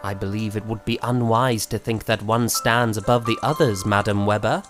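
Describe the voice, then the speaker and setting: An actor playing a young composer in a period audio drama, courteous and earnest, with formal, slightly hesitant speech. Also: male voice, fairly low pitch, fairly even intonation, normal speed, over music, lightly acted. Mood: warm, sincere. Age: young adult.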